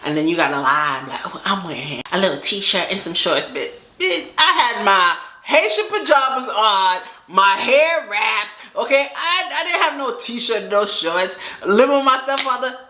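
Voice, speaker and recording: Young woman with a deepish voice dishing a story, bright tone, roomy echo. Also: moderate pace, hardly any pauses. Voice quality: fairly deep voice. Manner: animated, laughing, acts out quoted voices. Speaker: storytime vlogger. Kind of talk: casual storytime. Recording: echoey room.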